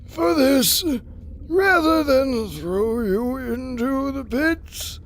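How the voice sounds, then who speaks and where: A woman's voice: sits high, expressive, a little hiss or hum, heavily acted. A woman voicing a stern, grand royal ruler passing sentence in a radio adventure serial. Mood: grave, imperious. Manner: slow, grand, imperious delivery. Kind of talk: old-time radio adventure serial acting.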